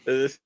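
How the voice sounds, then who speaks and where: An American man co-hosting a podcast in a casual, chatty style, speaking informally and repeating phrases for emphasis. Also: no room sound. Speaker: podcast co-host.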